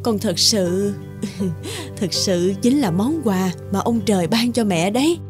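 A woman's voice: mid-range voice, expressive, takes it slowly, over music. A woman voicing a kind, lonely older peasant mother in a cartoon fairy tale, fussing and soothing as she talks. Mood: tender. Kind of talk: animated fairy tale voice acting.

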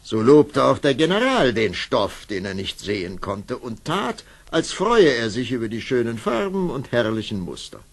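A man's voice: mid-range voice, very animated, moderate pace, gravelly, few pauses. A man narrating a fairy tale as a scripted storyteller for an audio play. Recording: old cassette, faint noise.

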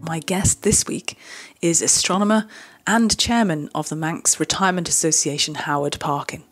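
A woman's voice: deep voice, moderate pace, faint music behind. A woman hosting an interview podcast, asking questions with hesitant restarts and encouraging her guest. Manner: hesitant, repeats words, encouraging backchannel. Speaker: podcast host.

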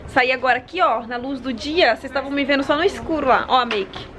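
A woman's voice: high-pitched, fast talker, a bit bright. A woman in her twenties with a Brazilian Portuguese accent, chatting casually to viewers as a travel vlogger on a handheld camera. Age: 20s. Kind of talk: casual vlog chat to viewers. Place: outdoors by desert roadsides and trails. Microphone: camera at arm's length. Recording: outdoor ambience noise, handheld camera.

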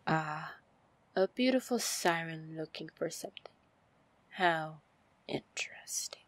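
A seductive female pirate lord, acted by a woman, speaks slowly and rather low, close and dry. She is commanding yet teasing, slipping toward breathy near-whispers in an ASMR fantasy roleplay. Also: expressive, no room sound, exaggerated voice. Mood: flirtatious, amused. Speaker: roleplay audio performer.

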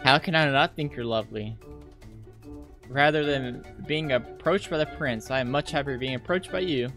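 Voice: mid-range voice, normal speed, fairly bright tone, faint music behind, lightly acted. Performer as a gushing, adoring schoolgirl. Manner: gushing, eager. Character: cheerful, adoring, unbothered schoolgirl.

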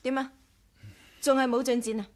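An actress plays a quick-witted, caring woman adventurer in a 1980s radio drama. Her voice is high. She speaks Hong Kong Cantonese in a direct, sensible way. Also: level tone, lightly acted. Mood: curious.

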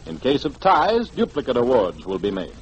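Old time american radio announcer guy, husky high voice, formal and emphatic, dull broadcast sound. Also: wildly swooping pitch, normal speed. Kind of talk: 1940s radio announcing, scripted contest promotion.

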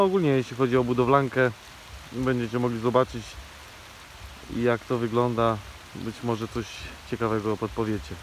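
A man in his thirties giving a relaxed, chatty phone-camera tour of a building site outdoors, with frequent filler sounds. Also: medium pitch, slight background noise, poor audio. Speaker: vlogger. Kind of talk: casual walk-through vlog narration. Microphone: handheld phone camera.

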